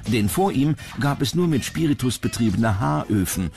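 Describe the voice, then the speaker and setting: A man's voice: mid-range voice, expressive, moderate pace, creaky, darkish tone. A man reading scripted narration as the narrator of a documentary. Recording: music under the voice. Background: background music.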